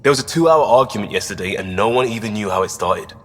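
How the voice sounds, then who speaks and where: Low-voiced, wry starship crewman. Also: expressive, fast, fairly bright tone, long reverb tail, faint music behind. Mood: wry, upbeat. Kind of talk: scripted video game acting.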